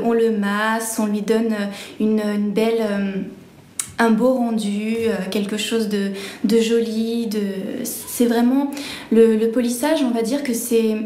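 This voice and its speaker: A woman's voice: fairly high pitch, dark tone, slight background noise. A young woman who is an apprentice polisher, speaking in a documentary interview. She is hesitant and searches for words, and her tone is tender. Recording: echoey room.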